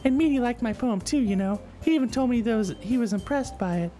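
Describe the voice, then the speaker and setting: A high-voiced, combative anime schoolgirl acted out by a man from a visual novel. She is blunt, indignant and quick to accuse, with music playing behind her. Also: dark tone. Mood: boastful. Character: bratty, combative anime schoolgirl with a short temper. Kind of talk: visual novel character read-aloud.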